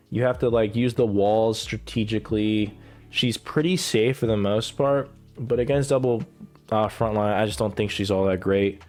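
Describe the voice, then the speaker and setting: A man with a lowish voice talks through a casual, rambling commentary, cursing freely and stumbling through restarts and fillers. He is coming down with a cold and coughs now and then. Soft music plays faintly behind him. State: getting sick, coughing. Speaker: gaming content creator ranking characters.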